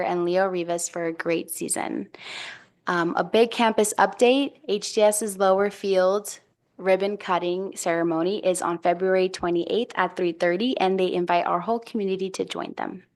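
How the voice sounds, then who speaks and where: A woman's voice: mid-range voice, fairly even intonation, normal speed. A woman reading a prepared report of school events and sports news at a school board meeting.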